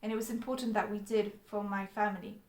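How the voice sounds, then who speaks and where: A woman's voice: mid-range voice, fairly even intonation, fast, darkish tone, echoey room. A young woman from Poland, Polish her first language, telling her life story to the camera in an earnest way with frequent ums and restarts. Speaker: vlogger.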